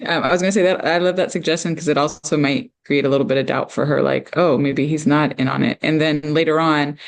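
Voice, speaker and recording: Guy w/ highish voice, lots of vocal fry, chatting story notes. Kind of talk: casual discussion, giving feedback.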